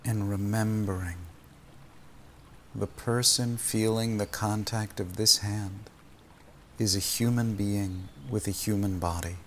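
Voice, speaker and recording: A man leading a guided meditation as a teacher, speaking gently and soothingly, with long pauses between phrases. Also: lowish voice, fairly even intonation, slight background noise.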